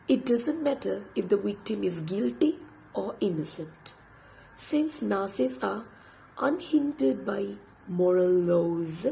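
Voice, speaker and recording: Tamil lady teaching english, voice nearly gone from a sore throat, dark and raspy, talks real slow. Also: mid-range voice, slight background noise, rough recording. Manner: hushed, slow, breathy half-whisper. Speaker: English instructor. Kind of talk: late-night personal vlog, reading aloud from a book.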